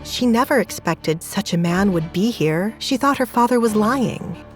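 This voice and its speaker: A woman narrating a scripted romance story in an even, measured way. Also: medium pitch, soft background music.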